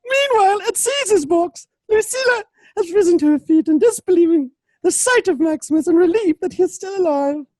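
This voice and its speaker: A woman's voice: very high-pitched, animated, brisk, few pauses, darkish tone, no room sound. A woman narrating a radio drama from a script in a dramatic style.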